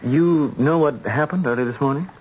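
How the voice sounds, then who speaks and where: An actor playing a dry, self-deprecating hospital resident in an old-time radio drama. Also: male voice, medium pitch, normal speed, lightly acted. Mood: sober. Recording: dull, noisy old radio broadcast.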